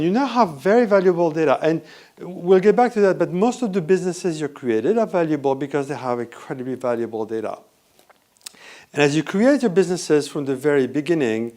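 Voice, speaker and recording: A man with a French accent presents on stage at a conference, his voice pitched a little higher than most men's. He talks in a lively, conversational way and keeps asking the audience for answers. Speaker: conference speaker. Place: hotel event room.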